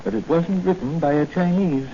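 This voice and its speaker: An actor playing a shrewd, avuncular doctor and mentor, deliberate and expository, in a 1940s radio drama. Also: male voice, fairly high pitch, warm tone, close to an everyday voice. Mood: thoughtful, analytical. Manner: hesitant 'uh' openings, deliberate, expository. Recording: muffled, noisy 1940 radio recording.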